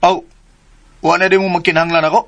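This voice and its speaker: An actor with a southern Philippine accent playing a brash, jealous grown son with an insistent, confrontational manner in a radio drama. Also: male voice, high voice, level tone, fast talker, a bit bright, lo-fi sound. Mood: needling.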